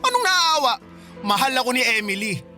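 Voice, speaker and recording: An actor voicing a defiant, sulky young man in a radio drama, talking back to his older sister with quick retorts. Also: high voice, big pitch swings, bright, forceful tone, faint music behind, exaggerated voice. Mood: heated.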